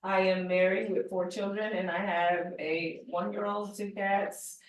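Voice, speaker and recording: A woman's voice: mid-range voice, level tone, a little slow, a little hiss or hum. A woman casually introducing herself to a group in a somewhat hesitant, informal way. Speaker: real estate agent. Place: indoor group meeting room. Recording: very echoey room, distant microphone.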